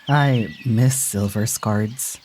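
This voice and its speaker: An actor playing a warm, teasing young traveler in an audio drama who laughs often and speaks sincerely. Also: mid-range voice, noisy background. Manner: playful, laughs often, unhurried.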